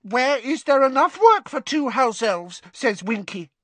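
A man voicing a small, eager male house elf who talks shrilly and excitably about himself in the third person. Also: very high-pitched, unhurried, no echo, voice pushed up, heavily acted. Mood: delighted. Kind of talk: audiobook character voice.

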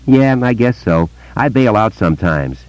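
A man voicing a curious, excitable small-town boy who talks in a quick, slangy way. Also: fairly low pitch, dry recording, pitched above the performer's own voice, close to an everyday voice. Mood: shy. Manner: breathless, excitable, slangy kid talk. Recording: dull lo-fi dry recording.

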